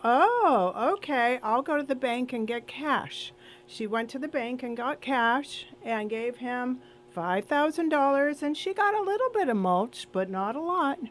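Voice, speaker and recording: A woman's voice: sits high, expressive, unhurried, slight background noise. A woman guest on a radio show chatting casually and telling anecdotes, acting out the dialogue she quotes. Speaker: radio show guest, senior seminar organizer.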